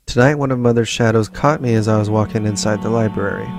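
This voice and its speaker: A man hosting a let's play, reading in-game notes slowly and evenly between short casual asides. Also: fairly low pitch, creaky, soft background music. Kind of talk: casual gameplay commentary and reading notes aloud.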